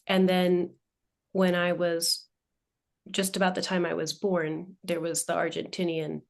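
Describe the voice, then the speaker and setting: This is a woman in her forties with a fairly low voice, speaking as a guest on an interview show. She tells her family's story thoughtfully and at a measured pace, her voice dropping softly at the ends of phrases. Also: level tone, vocal fry. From United States. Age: 40s. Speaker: guest, leadership coach.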